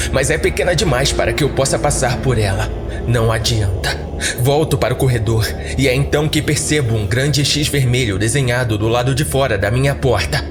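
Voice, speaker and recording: A man with a Brazilian accent reading a scripted first-person horror story in a deliberate, dramatic way. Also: mid-range voice. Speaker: horror podcast narrator. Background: music.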